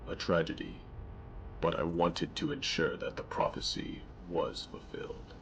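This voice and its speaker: A man voicing a deep-voiced fallen god-emperor. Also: fairly even intonation, a little hiss or hum. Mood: confessing. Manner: measured, solemn confession. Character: fallen former god-emperor, calm and resigned. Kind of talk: fan voice acting for a game mod.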